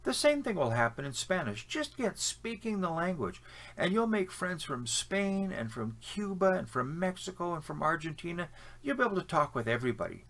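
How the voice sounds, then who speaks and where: A man's voice: high-pitched, big pitch swings, moderate pace, a bit bright. A man with a Canadian accent, giving opinionated, conversational advice to camera with frequent fillers and restarts. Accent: Canadian English. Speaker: language-learning vlogger. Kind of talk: casual advice talk to camera.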